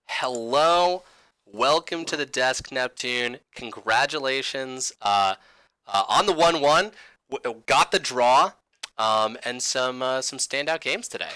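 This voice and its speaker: A man hosting a livestream desk, upbeat and quick with enthusiastic reactions. His voice is bright and clean, recorded dry and close to the mic. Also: mid-range voice, expressive, clear, resonant tone, no room sound. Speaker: esports broadcast host.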